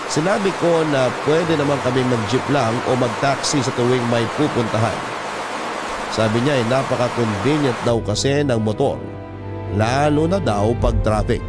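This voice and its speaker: A man reading out a first-person love story as a scripted drama narration. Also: mid-range voice.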